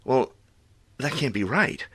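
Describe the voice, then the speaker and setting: Animated, forceful aging superhero. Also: male voice, medium pitch, takes it slowly, slightly rough, heavily acted. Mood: incredulous, agitated. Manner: sardonic, incredulous. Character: exasperated, sarcastic aging male superhero facing decline. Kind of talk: audio drama acting.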